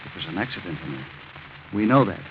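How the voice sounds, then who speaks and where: Slick cocky young blackmailer, lively and condescending, tinny old radio show sound. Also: male voice, deep voice, fast. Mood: brisk, controlling. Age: young adult. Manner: glib, casually menacing, condescending. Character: smug, smooth-talking, insolent young male blackmailer. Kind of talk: 1940s radio acting. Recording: tinny, noisy 1940s radio recording.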